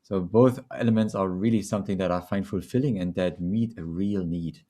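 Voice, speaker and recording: A middle-aged man with a German accent, speaking as a webinar guest in a measured, reflective, conversational way. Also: sits low, dark tone. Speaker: leadership coach and trainer, webinar guest. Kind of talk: conversational self-introduction in a webinar.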